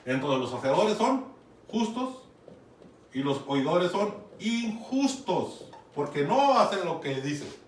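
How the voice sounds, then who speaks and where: Distant Mexican preacher in an echoey room. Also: male voice, sits high, big pitch swings, takes it slowly. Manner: conversational, often checks in with "¿sí?". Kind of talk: sermon, Bible teaching. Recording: echoey room, from far off, faint noise.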